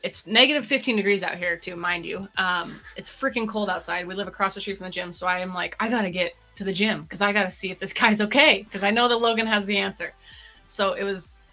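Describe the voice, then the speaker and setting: A woman co-hosting a vlog, telling a personal story in casual, informal and animated chat. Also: medium pitch, fast talker, faint music behind, low-quality recording. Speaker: vlog co-host.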